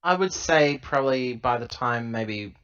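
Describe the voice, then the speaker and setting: Man, unhurried slow delivery, halting, dry, casual joking roleplay at a gaming table. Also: mid-range voice, lively intonation, fairly bright tone, clean tone, muffled recording.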